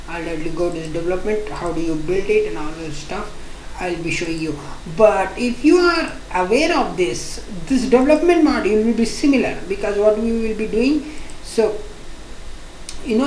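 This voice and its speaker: A woman with an Indian accent teaching an online software testing course, explaining quickly and repeating points with frequent restarts. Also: medium pitch, slight background noise. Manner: repetitive, restarts often, fast-paced explaining. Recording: echoey room.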